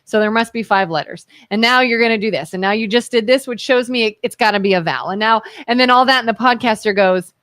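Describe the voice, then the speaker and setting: A woman's voice: on the high side, fast talker, hardly any pauses, no room sound, studio quality. A woman from Ohio co-hosting a casual radio talk show, telling stories and acting out the voices in them. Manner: animated storyteller who acts out the voices in her anecdotes. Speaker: co-host. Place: radio studio.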